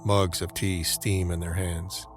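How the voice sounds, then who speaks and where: A man's voice: low voice, flat delivery, unhurried, faint music behind. A man giving scripted narration for an audio drama, describing each scene.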